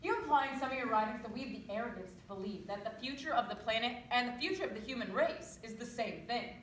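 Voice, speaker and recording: A woman's voice: a little high, animated, fast talker, natural voice. A woman playing an earnest, insistent TV news reporter in a stage readers theatre piece. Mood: brisk, serious. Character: earnest, insistent female broadcast news reporter pressing officials. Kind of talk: readers theatre, projected live news delivery. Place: live stage performance in a roomy space. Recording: echoey room, distant mic, background hiss.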